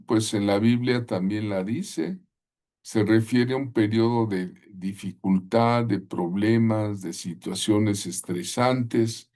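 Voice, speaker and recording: A slow, unhurried man in middle age gives a Bible lesson in a preaching style, his voice low and mellow. He leans on "eh" and asks "right?" as he goes. He calls on listeners at home to repeat phrases back. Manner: deliberate, with frequent "eh" and tag questions. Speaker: Bible school teacher.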